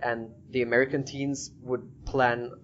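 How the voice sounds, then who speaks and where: A man's voice: mid-range voice, little pitch movement, measured pace, fairly bright tone. A man from Germany, casually interviewing a guest as a podcast host. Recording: dull lo-fi audio, faint noise.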